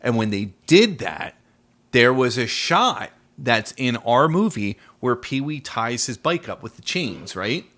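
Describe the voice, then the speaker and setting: A man's voice: medium pitch, very animated, unhurried, fairly bright tone. A man co-hosting a casual film discussion, explaining trivia and putting questions to his co-host. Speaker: podcast co-host.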